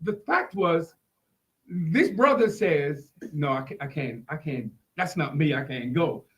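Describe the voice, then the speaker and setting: A man with a notably high voice co-hosts a casual live chat. His intonation is very lively, and he gets excitable, repeating phrases as he talks. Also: a little slow. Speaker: talk show co-host.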